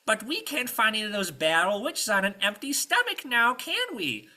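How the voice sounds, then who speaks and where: A man voicing evil witch sisters who sound coy and falsely sweet, with a shrill cackle. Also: normal speed, full character voice. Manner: coy, mock-sweet flattery.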